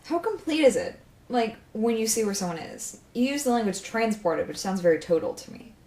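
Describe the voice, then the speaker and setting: A woman's voice: on the high side, animated, fairly quick, a little mellow, lightly acted, poor audio. A woman playing a blunt, inquisitive, dryly skeptical character in a naturalistic audio drama. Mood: curious, skeptical. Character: blunt, inquisitive, coffee-craving scientific-minded woman.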